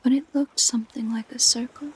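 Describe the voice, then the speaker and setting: A woman voicing a possessive, curious siren in an intimate audio roleplay, speaking in soft, gentle, musing whispers. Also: a little high, steady tone, low-quality recording. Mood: soft, possessive fondness. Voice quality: soft, breathy, mostly whispered. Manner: slow, gentle, musing delivery. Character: possessive, curious female siren, sweetly entranced by humans.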